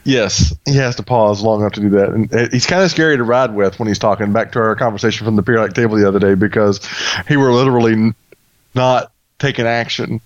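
A man's voice: fairly low pitch, quick pace, dry recording. Man, podcast co-host, dull lo-fi sound, dry, amused storyteller. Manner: storytelling, amused, laughs while telling anecdotes. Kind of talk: casual podcast banter.

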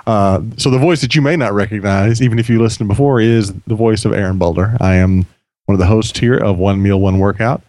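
A man with a low, slightly hoarse voice hosts a casual podcast, rambling along with plenty of restarts. He speaks close to the microphone in a dry, dead-sounding room. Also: brisk, a little mellow, no room sound. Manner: chatty, rambling, many restarts. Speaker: podcast host.

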